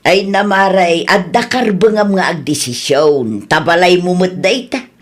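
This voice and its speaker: Low-voiced, outspoken neighbour woman. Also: measured pace. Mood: concerned. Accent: Ilocano. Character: sympathetic, outspoken older female neighbour friend. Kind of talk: Ilocano radio drama acting.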